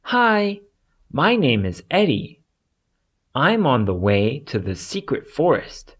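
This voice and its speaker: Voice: mid-range voice, sing-song, slow, fairly bright tone, husky, heavily acted. A storybook narrator voicing a young, timid teddy bear hero with a polite, earnest manner.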